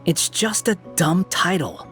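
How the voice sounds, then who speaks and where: Aloof, sarcastic young wanderer. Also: male voice, sits high, animated, takes it slowly, over loud music. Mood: irritated. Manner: dry, scornful, sighing. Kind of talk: English anime game dub acting.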